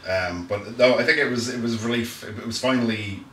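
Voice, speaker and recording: A man in his forties with a Dublin accent, an author answering interview questions quickly, often restarting his phrases. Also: medium pitch, bright, forceful tone, roomy sound, distant mic, slight background noise, poor audio. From Ireland. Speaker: author, guest.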